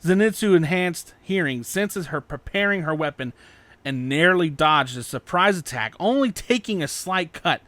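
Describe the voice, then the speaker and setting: A man's voice: a little high, lively intonation, normal speed, fairly bright tone, dry recording. A man narrating scripted fan fiction as an audiobook.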